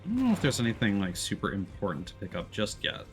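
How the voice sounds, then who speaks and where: Guy streaming a game, lowish voice, casually explaining stuff as he thinks, faint music behind. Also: wildly swooping pitch, fast. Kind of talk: casual live gameplay commentary.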